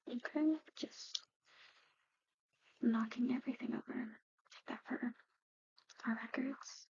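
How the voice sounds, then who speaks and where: A woman doing a whispered ASMR medical roleplay, explaining things gently and hesitantly as a matter-of-fact clinic practitioner. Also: a little high, breathy edge, no room sound, muffled recording. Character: soft-spoken, matter-of-fact woman at an eerie old clinic. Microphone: close-up ASMR microphone.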